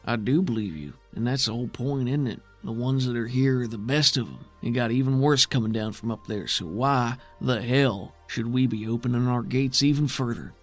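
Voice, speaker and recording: Tabletop RPG role, acted by a man: worried, bitter city loyalist, plainspoken and gruff, mid pitch, music bed. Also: normal speed, few pauses, natural voice. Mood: angry, accusing. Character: bitter, worried, steady man guarding his underground city.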